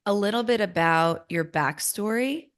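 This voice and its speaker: A woman with an American accent speaking conversationally as an interviewer, in reflective, deliberate phrases. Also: mid-range voice, smooth.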